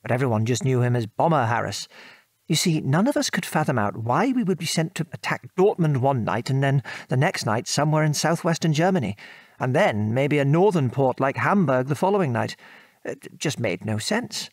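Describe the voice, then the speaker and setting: An actor with a British accent playing a gentle middle-aged father, a former RAF man, reminiscing in an old-fashioned genteel manner in a radio play. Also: medium pitch, sing-song, moderate pace, no echo. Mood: amused, explaining. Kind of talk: radio drama dialogue, reminiscing.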